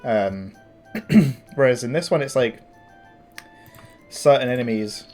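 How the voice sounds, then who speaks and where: A man with a gravelly morning voice, casually commenting on his game as he plays, thinking aloud with fillers and restarts. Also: medium pitch, slow, darkish tone, faint music behind. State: tired morning voice. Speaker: gameplay commentator. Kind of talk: casual let's-play commentary.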